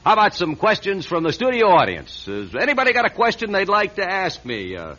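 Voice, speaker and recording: An actor playing a vain, needling American TV host in a radio drama, with glib, showy patter and stammering asides. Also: male voice, high voice, huge pitch swings, a little fast, sharp tone, exaggerated voice. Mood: brash, joking. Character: glib, needling, vain male TV talk-show star. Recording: dull, lightly noisy radio recording.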